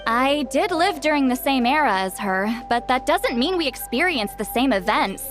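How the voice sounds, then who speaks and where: A haughty, long-lived shaman woman for a fantasy game. Her voice is high and swoops expressively, with clear music behind it. She sounds curt, boastful and easily exasperated. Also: lively intonation, normal speed. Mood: irritated, curt. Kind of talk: video game character voice acting.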